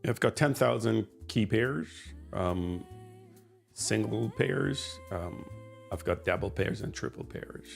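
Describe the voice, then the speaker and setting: A man giving casual live-coding commentary as a developer, halting as he thinks aloud. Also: lowish voice, vocal fry, faint music behind. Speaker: software developer and live streamer.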